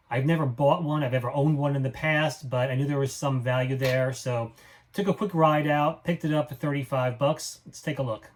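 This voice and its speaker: A man hosting his own reseller vlog, showing items in a casual, unscripted, plain-spoken and matter-of-fact way. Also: mid-range voice. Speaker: flea market reseller hosting own show. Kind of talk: casual unscripted product show-and-tell.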